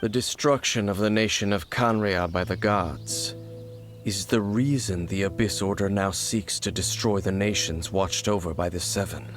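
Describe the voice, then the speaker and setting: A mysterious swordsman with a low voice who speaks in calm, measured, cryptic warnings, played by a man. He keeps himself aloof. Clear game music sits under him. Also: natural voice.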